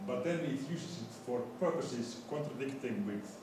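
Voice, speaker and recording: A man giving an academic lecture in a halting, hesitant way, often repeating the starts of his words. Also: lively intonation. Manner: hesitant, halting delivery with stammered word repetitions. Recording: very echoey room, distant mic, noisy rumble.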